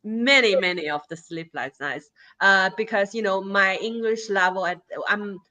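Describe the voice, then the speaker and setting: A woman with a Chinese accent, a native Chinese speaker, answering interview questions as a guest poet in a halting way, with many fillers and restarts. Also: medium pitch, bright, forceful tone.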